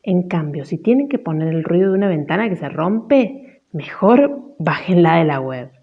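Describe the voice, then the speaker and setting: A woman's voice: on the low side, animated, normal speed, muffled recording. A woman with an Argentine accent giving instructions and examples as a teacher.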